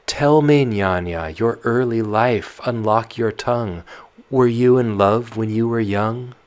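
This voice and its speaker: A man's voice: mid-range voice, takes it slowly, pitched above the performer's own voice, natural voice. A man voicing a restless, lovesick young noble girl in a verse story, pleading and breathless.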